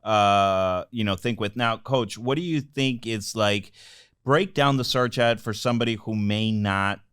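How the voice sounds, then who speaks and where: A man's voice: fairly low pitch, measured pace, a bit bright, smooth, no echo, clean studio sound. A man hosting a casual business podcast, talking informally and prompting his co-host along.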